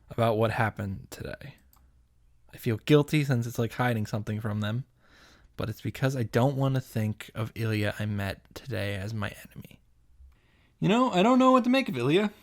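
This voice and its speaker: A man reading visual novel narration aloud and adding casual commentary, as host of a game reaction series. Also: mid-range voice. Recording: music behind the voice. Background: game music.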